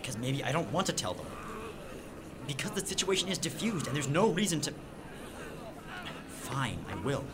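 A man's voice: a little high, very animated, moderate pace, long pauses, background noise. A man acting in an audio drama as an earnest, nerdy teenage zombie enthusiast with a wordy, grandiose way of talking. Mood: defensive.

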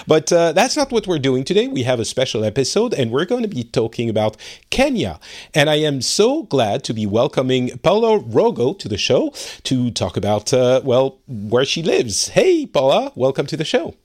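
A man's voice: mid-range voice, huge pitch swings, normal speed, a little mellow. A man hosting a podcast from Finland, friendly and chatty, with frequent fillers and light laughs. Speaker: podcast host. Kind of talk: casual interview chat. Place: home studio in Finland.

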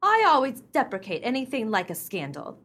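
A haughty society clubwoman of the radio play kind, acted by a woman. Her voice is high and swings widely in pitch as she delivers formal, self-righteous disapproval. Every phrase is pompous and proper. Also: huge pitch swings, brisk, a bit bright, full character voice. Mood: indignant, pompous.

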